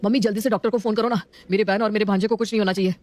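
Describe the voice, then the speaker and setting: A woman's voice: medium pitch, steady tone, racing, a little mellow, lightly acted. A woman voicing a protective, hot-headed adult brother in a family story, urgent and scolding. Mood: worried.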